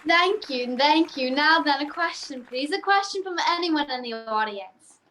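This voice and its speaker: A woman's voice: high voice, expressive, normal speed, bright, forceful tone. A woman playing a poised, formal stage memory marvel in a radio play, precise and showy in her address to an audience. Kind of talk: radio play acting, stage act patter. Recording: video call with faint noise, clicks.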